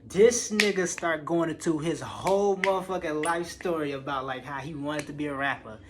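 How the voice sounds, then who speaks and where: A man's voice: fairly high pitch, normal speed. A young American man casually telling a personal story in slangy, expletive-heavy speech, acting out what people said. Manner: animated slangy retelling with acted-out quotes, swearing freely. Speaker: online storyteller. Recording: clearly noisy background.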